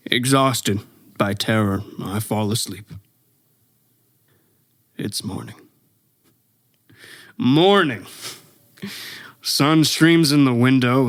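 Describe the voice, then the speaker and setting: An actor playing an exhausted, haunted professor who survived an invasion, delivering a halting, brooding radio play monologue. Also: male voice, mid-range voice, no echo, studio quality, natural voice. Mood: wary, dazed. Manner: halting, brooding, stammering over words.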